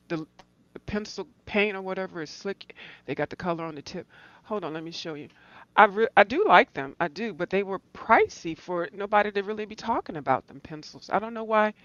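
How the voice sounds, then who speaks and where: Guest: woman, lowish voice, muffled lo-fi line, dry sound, loose rambling talk. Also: a bit bright, no echo. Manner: loose, rambling and thinking aloud. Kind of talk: casual chat about art supplies.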